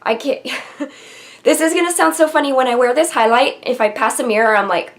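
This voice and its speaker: A woman's voice: sits high, expressive, fairly quick. A young woman with a nasal voice from a cold, chatting casually to the camera about makeup in her bedroom, with frequent ums. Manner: chatty and enthusiastic, many ums. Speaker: beauty vlogger. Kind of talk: casual product review to camera. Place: bedroom with vanity and shelves, indoors.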